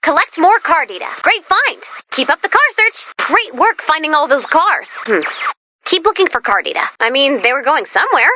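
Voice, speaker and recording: A chipper female robot helper for a video game, nerdy, eager and quirky. The voice is high and bright, with lively swings in pitch. She sounds expressive and keen to get on with the job. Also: normal speed, dry recording, exaggerated voice. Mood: encouraging, focused. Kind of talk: video game character voice lines.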